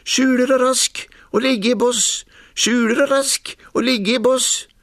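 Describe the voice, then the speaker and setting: Man putting on a shrill, sly piping fox. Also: fairly even intonation, a bit bright, no room sound, clean studio sound. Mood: teasing chant. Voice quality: thin, shrill. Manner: chanting, repetitive.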